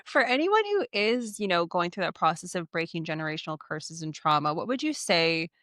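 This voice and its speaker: A woman in her late twenties hosting a podcast, asking questions in a relaxed way with lots of filler words. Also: on the low side, fairly quick, no echo, clean studio sound. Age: 20s. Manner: relaxed, uses many fillers, supportive. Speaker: podcast host. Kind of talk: casual interview chat.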